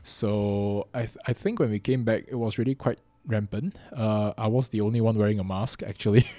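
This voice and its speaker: A young man with a Singaporean accent chats on his podcast in a low, mellow voice. He talks quickly and loosely, with lots of fillers. The sound is dry and close. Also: steady tone, no room sound. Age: 20s. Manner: chatty, quick, with frequent fillers and laughs. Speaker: podcast host. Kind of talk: casual chat.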